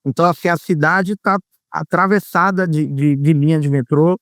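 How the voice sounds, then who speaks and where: A man from Bahia speaks as an interview guest, explaining at length and pausing often to find his words. His voice is smooth and clear and sits slightly high. The sound is dry and close. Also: steady tone, fairly bright tone, no echo. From Salvador, Bahia, Brazil. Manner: measured, explanatory, many hesitations. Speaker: former marketing executive, guest. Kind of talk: interview answers.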